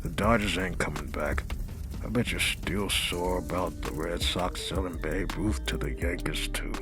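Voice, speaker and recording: A man acting in a comic dub as a gruff, opinionated, street-weary character, gravelly and husky, with an emphatic, sardonic delivery. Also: deep voice, big pitch swings, measured pace, over music, heavily acted. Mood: grumbling.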